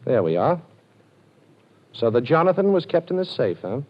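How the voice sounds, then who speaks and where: A man playing a calm, dryly amused detective with an ex-con past in a vintage radio drama. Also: medium pitch, moderate pace, natural voice. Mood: cool. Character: cool wry ex-con detective, male adult, posing. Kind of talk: 1940s radio acting. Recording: dull old radio broadcast.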